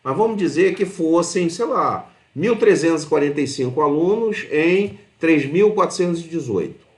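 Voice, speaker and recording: A man's voice: a little high, expressive, moderate pace. A man with a Brazilian accent giving an informal step-by-step math lesson as a teacher, often checking understanding with short tag questions. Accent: Brazilian Portuguese. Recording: roomy, echoing voice.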